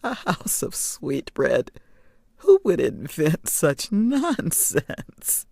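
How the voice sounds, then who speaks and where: A woman voicing a kindly, amused old woman of the woods in a storybook, speaking in a measured, wry, teasing way. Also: low voice, huge pitch swings, vocal fry, a little mellow, no room sound, studio quality, exaggerated voice. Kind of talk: storybook character reading.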